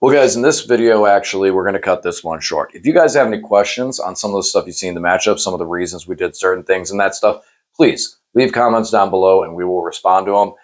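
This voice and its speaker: Hoarse man, very low voice, rambling casual host, teasing. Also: quick pace. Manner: rambling, self-correcting, teasing. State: losing his voice, hoarse. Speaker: channel host. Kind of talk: casual post-game chat.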